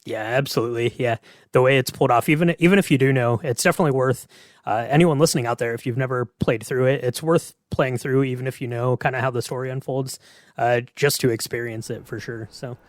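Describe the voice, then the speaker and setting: A man speaks in a relaxed conversational style on a podcast. His delivery is quick, at a middling pitch, and the sound is very dry with hardly any room to it. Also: no echo. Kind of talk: casual chat.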